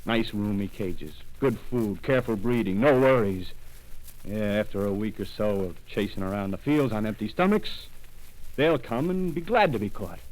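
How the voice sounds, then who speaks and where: An actor playing a rough, power-hungry soldier in a 1930s radio drama, talking in coarse streetwise slang and pressing his point. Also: on the low side, normal speed, a little mellow, close to an everyday voice, low-quality recording. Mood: scheming.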